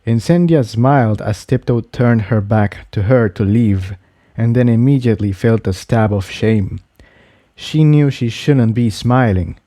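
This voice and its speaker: A man reading scripted fiction aloud as an expressive story narrator. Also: medium pitch, measured pace, dry recording, studio quality.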